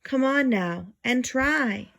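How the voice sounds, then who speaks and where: A gentle male elephant from a storybook, voiced by a woman. The voice sits high and pleads earnestly, with emphatic, caring phrasing. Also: animated, takes it slowly, gravelly, slight background noise, full character voice. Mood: encouraging.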